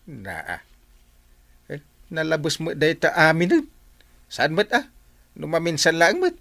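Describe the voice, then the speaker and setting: A man's voice: a little high, wildly swooping pitch, unhurried, vocal fry, a bit bright, exaggerated voice. A man with an Ilocano accent playing a flustered, defensive village captain and husband in a comedy radio drama.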